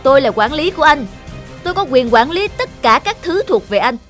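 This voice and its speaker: A man's voice: extremely high pitch, sing-song, brisk, a bit bright, soft background music, exaggerated voice. A man voicing a pushy, stern middle-aged talent manager in a cartoon, bossy and insistent. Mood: angry, scolding. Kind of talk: cartoon voice acting.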